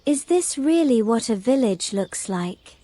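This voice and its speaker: A woman's voice: fairly high pitch, lively intonation, measured pace, warm tone. A woman playing a naive, curious mermaid in a fantasy audio drama, wondering and questioning in simple words. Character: curious, naive mermaid new to the human world.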